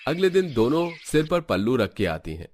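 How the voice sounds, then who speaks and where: A man's voice: medium pitch, big pitch swings, moderate pace, darkish tone. A man reading scripted narration as the storyteller of a family tale.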